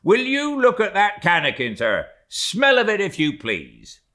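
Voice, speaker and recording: A man reading a novel aloud, voicing a bluff, excitable ship's mate who mocks loudly. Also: high voice, unhurried, pitched above the performer's own voice, heavily acted. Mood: indignant mockery. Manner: loud, blustering, sarcastic questioning. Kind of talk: audiobook character voicing.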